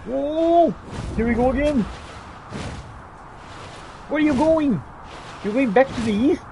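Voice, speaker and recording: A man with a high, very lively voice plays a game in character. He is theatrical and exclamatory, calling out to the characters around him. Clear game noise runs behind his voice. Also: slow. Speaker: gamer playing in character. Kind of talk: roleplay gameplay commentary.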